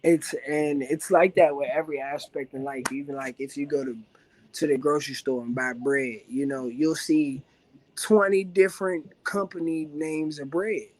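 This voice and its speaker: A man from Washington, D.C. with a raspy voice, talking about his music as a guest in a casual, laid-back interview full of filler phrases. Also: a little high, steady tone, normal speed, poor audio. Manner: laid-back, loose, full of "you know what I'm saying". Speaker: rapper guest.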